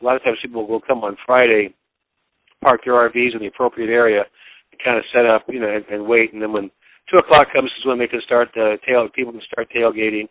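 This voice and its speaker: A man giving casual sports commentary as an interviewed broadcaster, in a halting, rambling, folksy way. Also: mid-range voice, little pitch movement, no room sound, narrow, tinny audio.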